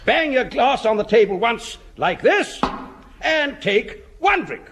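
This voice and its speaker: A man's voice: extremely high pitch, sing-song, slow pace, heavily acted. An actor playing a jovial, boozy old army officer with an upper-class English accent, hearty and relishing his instructions in vintage radio sitcom style. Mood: tipsy relish. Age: older. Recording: noisy old radio recording.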